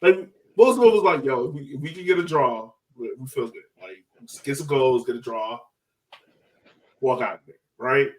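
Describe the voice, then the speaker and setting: A man chatting casually as a sports fan podcast host, excited and slangy, swearing and repeating phrases for emphasis. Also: mid-range voice, moderate pace, recorded from a distance, rough recording.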